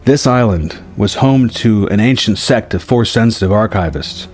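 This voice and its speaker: A man's voice: lowish voice, lively intonation, normal speed, darkish tone. Man reading as a composed, cryptic mentor. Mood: calm, grave. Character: older male mentor, cryptic and composed sage. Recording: music behind the voice.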